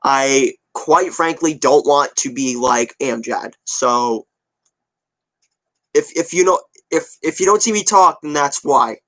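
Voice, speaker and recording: A man's voice: medium pitch, slow pace, fairly bright tone, low-quality recording. A twenty-year-old man chatting casually to his viewers, rambling and repeating himself in an offhand way. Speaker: video creator updating viewers. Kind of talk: casual chat to viewers, answering comments.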